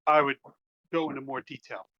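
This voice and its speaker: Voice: medium pitch, fairly even intonation, a little fast, muffled recording. A player in a tabletop game, chatting casually and thinking aloud with hesitations.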